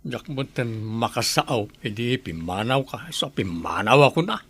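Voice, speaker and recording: Husky, bashful bachelor uncle. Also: male voice, medium pitch, animated, unhurried, a bit bright, exaggerated voice. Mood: embarrassed. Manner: hesitant, stammering. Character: bashful, tongue-tied older bachelor uncle, fond of drink. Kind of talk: radio drama acting in Ilocano.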